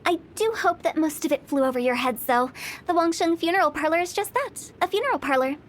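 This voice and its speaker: A woman's voice: extremely high pitch, expressive, brisk, a little hiss or hum. An actress voicing a playful young woman who runs a funeral parlor, teasing one moment and solemn the next. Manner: teasing, then solemn when explaining. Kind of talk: game cutscene voice acting.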